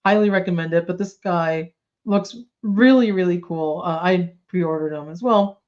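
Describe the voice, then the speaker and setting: A woman's voice: on the low side, normal speed, darkish tone. Woman co-host, chatty and bubbly, a little under the weather, slightly dull lo-fi recording. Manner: chatty, bubbly, lots of likes and ums. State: coming down with a cold. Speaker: toy news podcast co-host. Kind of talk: casual chat.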